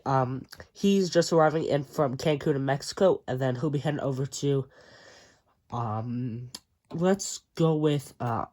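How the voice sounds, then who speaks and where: A young man with a stuffed-up, congested voice talks casually and a bit high, filling pauses with lots of ums and uhs. He narrates a relaxed video tour as a hobby channel host. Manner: chatty with frequent ums and uhs. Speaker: model airport YouTuber.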